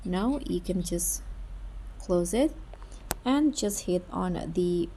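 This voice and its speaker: A woman's voice: lowish voice, animated, takes it slowly, mellow, husky. A woman hosting a how-to tutorial, narrating the steps in a casual, instructional way. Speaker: tutorial host.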